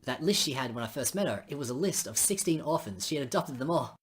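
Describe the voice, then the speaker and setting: A woman with a husky voice reading game dialogue aloud on a stream, with casual asides. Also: rumbling low, lively intonation, quick pace.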